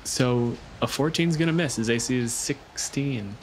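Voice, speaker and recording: A man's voice: medium pitch, measured pace, a little hiss or hum, low-quality recording. A man acting as game master, casually explaining rules and narrating the action of a tabletop game.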